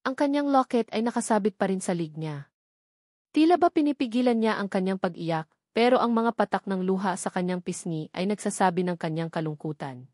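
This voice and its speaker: A woman's voice: mid-range voice, animated, a little fast, a little mellow, no room sound, clean studio sound. A woman reading a scripted dramatic story as its narrator.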